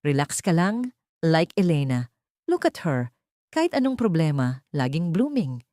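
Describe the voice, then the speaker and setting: A woman's voice: low-pitched, sing-song, measured pace, dark tone, dry recording, studio quality. Woman voicing a breezy, condescending husband. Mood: breezy, dismissive. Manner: breezy, condescending, mixing in English. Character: complacent husband, casual, belittling his wife.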